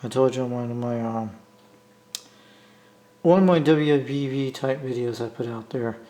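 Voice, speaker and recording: A man with an American accent talking casually off the cuff as a hobbyist video maker, rambling and halting as he repeats himself. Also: mid-range voice, warm tone. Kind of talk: casual unscripted vlog talk. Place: indoor room, talking from behind the camera.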